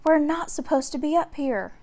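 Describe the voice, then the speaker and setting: A woman's voice: high-pitched, expressive, moderate pace, darkish tone, voice pushed up. A woman voicing a cautious, bookish young boy in a children's storybook, earnest and admonishing. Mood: worried, scolding.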